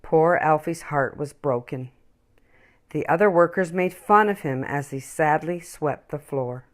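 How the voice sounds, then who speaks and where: A woman reading a children's story aloud with expressive, emphatic, dramatic phrasing. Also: deep voice, measured pace.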